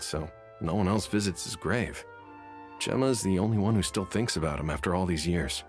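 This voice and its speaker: A plain-spoken, sympathetic townsman from a video game. His voice sits very low but moves expressively as he gossips wistfully, over faint music. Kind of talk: video game character acting. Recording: music behind the voice.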